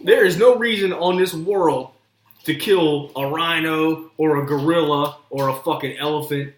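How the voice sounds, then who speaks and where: A man's voice: fairly high pitch, unhurried. A man co-hosting a casual podcast, ranting bluntly and sarcastically and swearing constantly. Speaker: podcast co-host. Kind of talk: profane casual rant. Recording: echoey room, faint noise.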